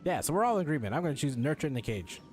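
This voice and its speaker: A man's voice: a little high, big pitch swings, very fast, a little mellow, soft background music. A young man giving casual gameplay commentary in a chatty, slangy, sweary way. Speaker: game streamer.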